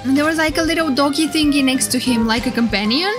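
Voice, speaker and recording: A woman doing excited live reaction commentary as a streamer, gushing and flustered, often repeating herself. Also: high-pitched, quick pace. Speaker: VTuber streamer reacting to game trailers. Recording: game trailer music behind voice.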